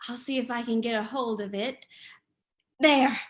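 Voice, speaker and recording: A woman's voice: fairly high pitch, steady tone, moderate pace, natural voice. A woman playing a polite, anxious lady companion to a sleuth in an old-time radio drama, proper and theatrical. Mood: polite, uneasy.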